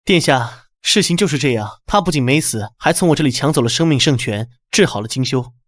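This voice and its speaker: A man voicing an obedient, scheming henchman in an animated drama, deferential and conspiratorial. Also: medium pitch, fairly quick, no echo. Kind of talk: animated short-drama dubbing.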